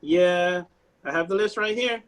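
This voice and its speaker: Man acting a high-voiced, hesitant screenwriter. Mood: harried. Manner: halting, hesitant. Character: anxious screenwriter man pitching his action script to producers. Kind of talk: table read acting.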